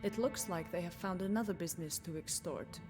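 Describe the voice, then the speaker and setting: An actress playing a composed, defiant nurse in a video game, speaking in a measured, frank, dryly pointed way. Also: female voice, lowish voice, lightly acted. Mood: frank, guarded. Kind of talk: video game dialogue acting. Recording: music behind the voice.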